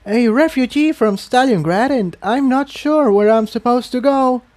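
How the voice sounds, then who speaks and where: Man doing a high, nervous pegasus girl. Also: moderate pace, a little mellow. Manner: hesitant, stumbling, nervous. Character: timid young female pegasus refugee, nervous and awkward.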